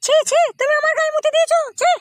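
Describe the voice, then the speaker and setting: Casting: woman for bossy cartoon mother-in-law, piercingly high altered voice, very rapid scolding, indulgent underneath. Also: fairly even intonation, no echo, studio quality. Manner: scolding, questioning, commanding. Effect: squeaky pitch-shifted cartoon voice. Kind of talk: comic Bengali cartoon dialogue.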